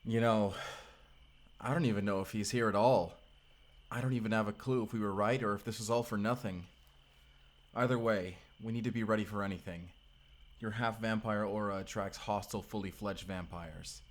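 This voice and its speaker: Male actor as mysterious vampire slayer, low voice, earnest and protective, intimate audio drama delivery. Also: fairly even intonation, moderate pace, lightly acted. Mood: weary, watchful. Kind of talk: cinematic audio roleplay acting.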